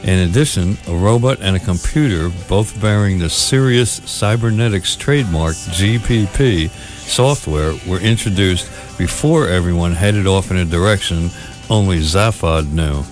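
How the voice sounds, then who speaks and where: A man's voice: deep voice, moderate pace, soft background music. A man reading a scripted recap as the announcer of a radio theatre show. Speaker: announcer, narrator.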